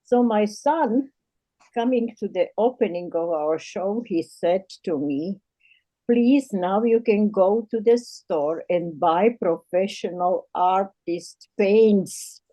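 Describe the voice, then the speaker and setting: An older woman from Slovakia with a Slovak accent, telling her personal story as an artist in an earnest, measured way. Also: mid-range voice, warm tone, no room sound, poor audio. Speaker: self-taught artist.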